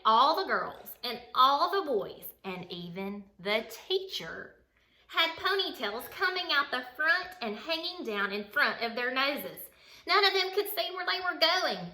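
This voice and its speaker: A woman reading a children's picture book aloud as a bedtime story, in an expressive, dramatic way. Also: on the high side. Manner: expressive, dramatic, acts out dialogue. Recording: roomy, echoey sound.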